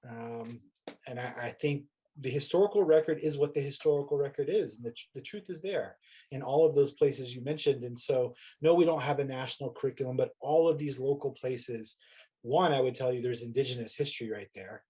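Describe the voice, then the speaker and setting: A man's voice: mid-range voice, a little fast, dark tone, breathy edge. A man who teaches, talking casually as a panel guest, informal and thinking aloud. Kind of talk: casual, unscripted panel talk.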